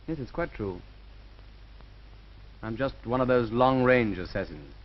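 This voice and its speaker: Male actor playing self-reproaching film man, medium pitch, subdued, clipped, bitter, 1930s lo-fi sound. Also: lively intonation, unhurried, natural voice. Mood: bitter, resigned.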